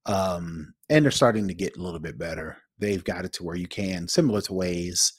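A man's voice: deep voice, a little fast, dry recording, studio quality. A man co-hosting a tech podcast in casual chat, explaining things informally. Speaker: podcast co-host.

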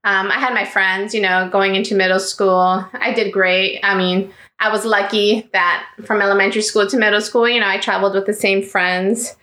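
A woman in her thirties from South Florida, whose first language is Greek, telling her life story in a relaxed interview and filling her talk with "um" and "you know". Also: mid-range voice, normal speed, hardly any pauses, echoey room. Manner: chatty, many ums and you-knows, laughs easily. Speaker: interview guest.

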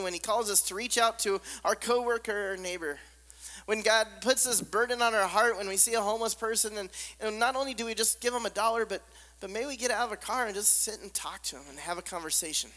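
A man with a squeaky voice preaching a sermon, hammering points through repetition and dropping to soft, hushed lines. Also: brisk. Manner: emphatic repetition, drops to soft near-whispers. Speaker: pastor. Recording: long reverb of a large hall.

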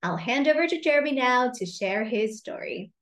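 A woman's voice: on the high side, expressive, normal speed, echoey room. A woman hosting a podcast interview, speaking in an upbeat, enthusiastic way. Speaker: podcast host and career coach.

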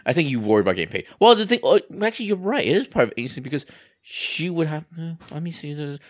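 Quick, emphatic man from Taiwan. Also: on the high side, big pitch swings. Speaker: podcast co-host. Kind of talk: casual chat.

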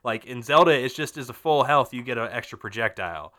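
A man's voice: medium pitch, animated, a little fast, bright, forceful tone. A man hosting a casual gaming podcast, rambling and swearing with lots of fillers, a little nasal and sniffly from a cold. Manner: rambling, profane, frequent fillers and restarts. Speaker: podcast host.

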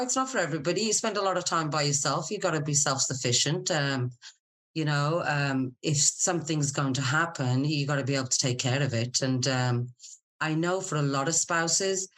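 A woman's voice: deep voice, moderate pace, fairly bright tone. A middle-aged woman, chatting candidly and informally as a guest in an interview, telling personal stories. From Scotland. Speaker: podcast guest, military spouse and business owner.

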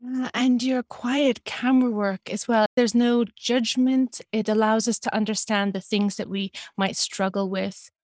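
A woman's voice: on the high side, fairly even intonation, a little slow, few pauses, a little mellow, no room sound, clean studio sound. A woman interviewer asking thoughtful, appreciative questions.

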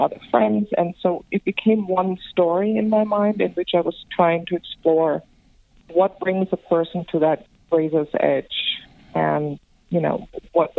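A woman from Greece, a filmmaker guest speaking thoughtfully and softly about her work. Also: lowish voice, creaky. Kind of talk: reflective interview talk. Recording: tinny phone line, dry.

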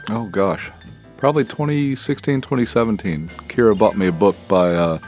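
A man's voice: lowish voice, normal speed, a little mellow, soft background music. A man from Texas, a bar co-owner and cocktail maker, answering interview questions in a laid-back, easygoing way.

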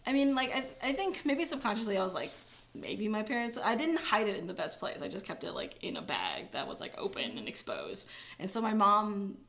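A woman's voice: mid-range voice, sing-song, a little fast, gravelly, a bit bright. Young woman, casual interview guest, chatty, filler-heavy, laughs easily. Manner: chatty, many fillers, laughs when uncomfortable.

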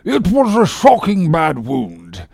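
A man's voice: high-pitched, wildly swooping pitch, slow, creaky, a little mellow, slightly rough, no echo, clean studio sound, pitched above the performer's own voice, exaggerated voice. A man voicing a pompous, imperturbable English ship's surgeon, speaking with formal, long-winded gravity in an audiobook story. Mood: grave, earnest. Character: pompous, dryly joking English ship's surgeon, solemn rogue.

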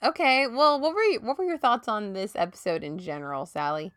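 A woman's voice: mid-range voice, a little fast, fairly bright tone, clear, resonant tone, no echo, studio quality. A woman co-hosting a podcast in casual chat, chatty and laughing often, coming down with a cold. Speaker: podcast co-host.

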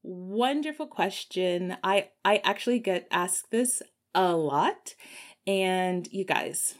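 A woman travel vlogger from Texas, likely in her thirties, answering viewer questions in a casual, chatty way and laughing often. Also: slow pace. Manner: chatty, laughs often, lively swings in pitch. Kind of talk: casual chat.

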